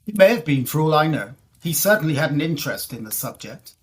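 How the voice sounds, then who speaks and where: A woman acts a confident gentleman traveller in a gothic audio drama. The voice sits a little low, with educated, formal phrasing and a deliberate storyteller's delivery. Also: big pitch swings. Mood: reflective storytelling. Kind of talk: period audio drama acting and narration.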